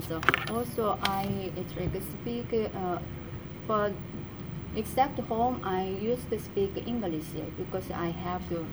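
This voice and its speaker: A woman from Korea with a Korean accent, a college student answering interview questions in halting English, searching for words with many fillers. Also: medium pitch. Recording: noticeable background noise.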